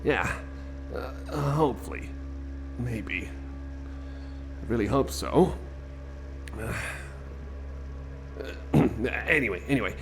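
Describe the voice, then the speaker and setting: A man doing a rambling, improvised ASMR roleplay as a nervous, friendly companion, halting and stammering as he repeats his phrases. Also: mid-range voice, animated. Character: nervous, friendly male cyborg companion lost in endless corridors. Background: music.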